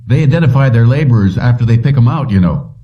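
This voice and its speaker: A man's voice: medium pitch, animated, fast, pitched above the performer's own voice, lightly acted. A man voicing a wary, curt small-town cab driver with clipped, colloquial speech in an audiobook. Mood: guarded. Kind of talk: audiobook character dialogue. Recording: dull lo-fi, distant from mic.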